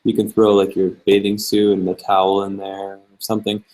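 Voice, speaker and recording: A young man, a travel vlogger, chatting casually and answering viewer questions in a relaxed, rambling way. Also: on the low side, a little fast, poor audio. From Canada. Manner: relaxed and chatty, many fillers, reads questions aloud.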